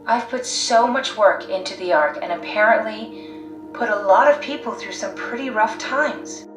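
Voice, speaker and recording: A woman's voice: mid-range voice, normal speed, close to an everyday voice. A woman playing a pragmatic, driven, blunt scientist in a video game, analytical, matter-of-fact and persuasive. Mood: determined. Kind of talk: video game voice acting, naturalistic dialogue. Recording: echoey sound. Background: faint music.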